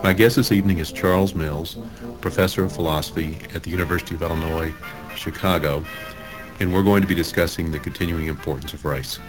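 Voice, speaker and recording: A man hosting a talk show who introduces his guest formally and asks questions in a halting way, with many uhs. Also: sits low, steady tone, music in the background, low-quality recording. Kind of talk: interview hosting.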